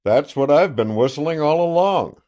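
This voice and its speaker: A loud, self-satisfied sheriff, as a male reader puts him on, booms out his boasts in a raised voice that swings widely in pitch. He sounds blustering and triumphant, an old-fashioned lawman in an audiobook. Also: lively intonation, a little slow. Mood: boastful.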